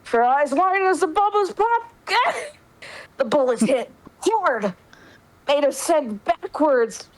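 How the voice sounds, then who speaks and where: A woman's voice: high-pitched, animated, slow, rough recording. A woman narrating an action story in an informal, conversational way, with casual asides. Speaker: player narrating in a dramatic roleplay reading.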